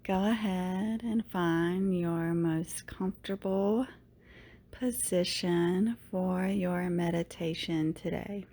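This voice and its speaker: Very slow, soothing woman guiding meditation. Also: fairly low pitch. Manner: soothing, unhurried, hushed phrase endings with long pauses. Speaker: yoga teacher, meditation guide. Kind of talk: guided meditation narration.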